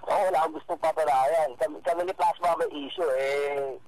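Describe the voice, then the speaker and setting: A man's voice: on the high side, steady tone, a little fast, darkish tone. A man with a Filipino accent arguing defensively on a phone call, repeating himself and tagging on questions. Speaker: rapper receiving a prank call. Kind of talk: argumentative phone conversation. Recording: phone call with crowd noise behind.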